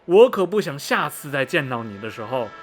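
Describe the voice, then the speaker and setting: An actor playing a chatty railway ticket agent who gives folksy, emphatic warnings in a radio drama. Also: male voice, medium pitch, big pitch swings, fairly quick, natural voice. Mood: cautioning.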